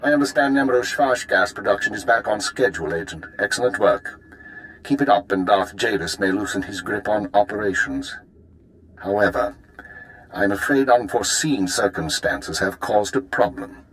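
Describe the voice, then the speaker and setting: An actor playing a calm, calculating imperial intelligence handler in a video game, briefing formally and in measured phrases. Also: male voice, lowish voice, low-quality recording. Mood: calm, grave. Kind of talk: dramatic video game acting.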